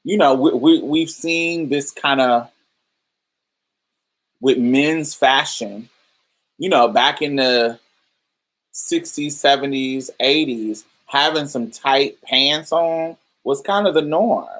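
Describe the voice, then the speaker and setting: A woman's voice: extremely low pitch, slow. A woman hosting a podcast, explaining ideas in a loose, thoughtful way, with many fillers and restarts while talking to listeners. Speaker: podcast host. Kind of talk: casual livestream podcast talk.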